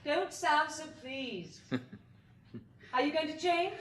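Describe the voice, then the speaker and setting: An actress playing a genteel British woman surviving an apocalypse in a radio drama, expressive and a little hesitant. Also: high voice, drawn-out words, exaggerated voice. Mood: light, inquiring. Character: genteel, anxious but playful female apocalypse survivor.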